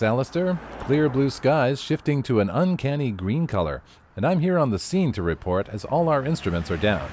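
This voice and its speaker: A man's voice: medium pitch, a little mellow, a little hiss or hum, lightly acted. A man playing a field weather reporter in an audio drama, breezily delivering news of horrors like a newscaster. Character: chirpy male field weather reporter amid eldritch horror.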